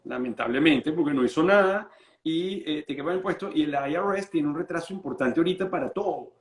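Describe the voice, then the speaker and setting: A Venezuelan-born lawyer explaining estate planning in an interview, patient and full of restarts. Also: male voice, fairly high pitch, a little fast, roomy sound. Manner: explains patiently, many restarts and repeated words.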